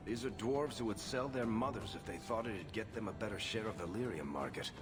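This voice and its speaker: Casting: sardonic roguish game dwarf, chatty, low but lively voice, music behind. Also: male voice. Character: wry roguish male dwarf storyteller adventurer. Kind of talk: video game character acting.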